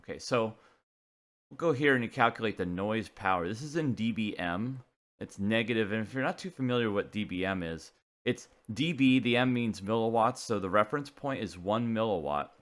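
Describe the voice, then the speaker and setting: Low-pitched man explaining technical material casually. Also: animated, fairly bright tone. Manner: casual, halting, thinking aloud while working through figures. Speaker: presenter of a CB radio technical video.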